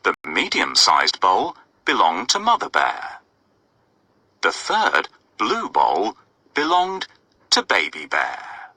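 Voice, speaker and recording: A man tells a children's story in a very bright, forceful voice with a husky grain. His delivery swoops widely and expressively as he addresses young listeners, in a dry, close recording. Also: low-pitched, huge pitch swings, slow, no room sound. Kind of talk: scripted children's story narration.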